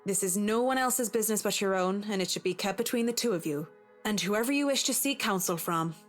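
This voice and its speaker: A woman's voice: medium pitch, brisk, soft background music, natural voice. A woman playing a discreet, frank, unflappable professional in a video game, with a formal, businesslike manner. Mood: composed, frank. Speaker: voice actor in a visual novel. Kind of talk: video game dramatic acting.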